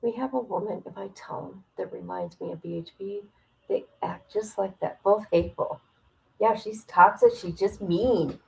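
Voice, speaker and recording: A woman's voice: medium pitch, normal speed, vocal fry, dark tone, poor audio. A woman hosting a casual livestream, reading aloud and commenting in a blunt, incredulous, gossipy way. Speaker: livestream host reacting to a document.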